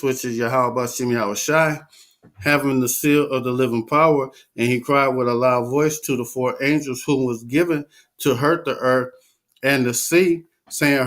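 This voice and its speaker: A man reading scripture aloud and preaching in a casual talk, stumbling, repeating words and filling gaps with "uh". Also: medium pitch. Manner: halting, many repeats and fillers.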